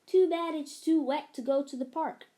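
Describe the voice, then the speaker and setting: Woman doing a high, playful little boy. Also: fairly even intonation, normal speed, mellow, close to an everyday voice. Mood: friendly. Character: friendly, playful little boy visiting his friend.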